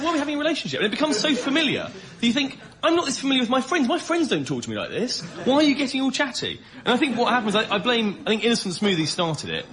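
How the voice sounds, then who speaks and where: Animated, high-pitched man riffing fast. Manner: mock-exasperated, incredulous comic ranting. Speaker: panel show guest. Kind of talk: comic panel-show riffing. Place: radio panel show before a live audience. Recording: noisy live recording with audience.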